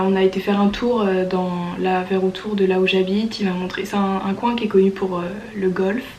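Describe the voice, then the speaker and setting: A young woman with a French accent tells her stories in a casual, slangy vlog style. Her medium-pitched voice sounds stuffed up with a cold, and her delivery is informal and enthusiastic. Noticeable ambient noise sits behind her. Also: a little mellow. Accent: French from France. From France. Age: early 20s. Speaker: au pair vlogger. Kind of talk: casual chatty vlog storytelling.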